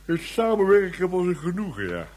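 An actor playing a flustered, weary, self-satisfied police inspector in a science fiction radio play, muttering and hesitant. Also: male voice, sits high, wildly swooping pitch, fairly quick, darkish tone.

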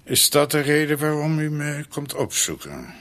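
An actor playing a weary, ageing doctor in a Dutch radio drama, terse and resigned with quiet reproach. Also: male voice, on the high side, very animated, moderate pace. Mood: guarded.

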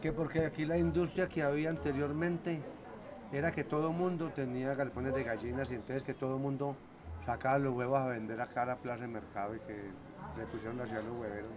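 A man's voice: mid-range voice, little pitch movement, fairly quick, a crowd in the background. Colombian man amid busy market noise. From Tuluá, Valle del Cauca, Colombia. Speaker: hardware stall owner and craftsman. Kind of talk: casual interview chat. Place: hardware stall in a public market.

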